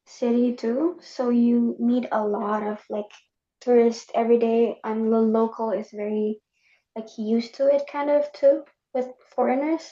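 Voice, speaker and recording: A woman's voice: on the high side, warm tone. A young woman from Indonesia whose first language is Indonesian, speaking hesitantly with many fillers in a casual group discussion. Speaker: student panelist. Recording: dull lo-fi sound.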